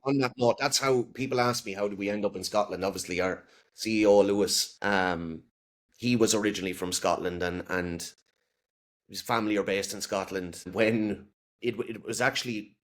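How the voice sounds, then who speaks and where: Male podcast guest with an Irish accent, lowish voice, relaxed anecdotal delivery. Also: moderate pace. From Republic of Ireland. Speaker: company founder, podcast guest. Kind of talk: casual storytelling in an interview.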